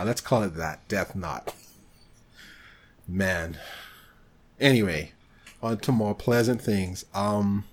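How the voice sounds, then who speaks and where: A man co-hosting a podcast, chatting casually about films in an animated, exclamatory way. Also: on the low side. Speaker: podcast co-host.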